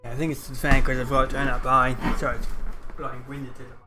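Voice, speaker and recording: A man's voice: mid-range voice, level tone, fast. A man giving offhand, casual live commentary as he streams a game, muttering asides. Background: faint game music.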